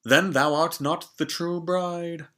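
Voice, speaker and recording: A man's voice: a little high, fairly even intonation, slow pace, fairly bright tone, voice pushed up. A man voicing a stern young prince in a storybook reading, formal and commanding in manner. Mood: suspicious, stern. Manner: formal, commanding, archaic phrasing.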